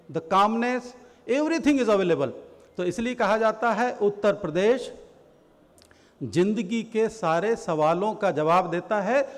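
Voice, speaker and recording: Middle-aged Indian official, high pitch, podium speech in a reverberant hall. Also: male voice. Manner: bursts of rapid Hindi between slower English. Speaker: government official. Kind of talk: conference speech mixing Hindi and English. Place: large conference hall, at a podium. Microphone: podium microphone.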